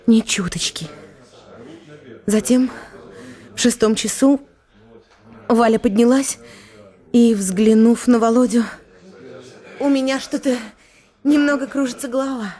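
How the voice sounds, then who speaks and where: Radio drama role: actress as young woman in love, tender, lightly high voice, very unhurried, faint music. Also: dark tone, close to an everyday voice. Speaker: narrator and actress in a radio play. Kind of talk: slow dramatic literary radio reading.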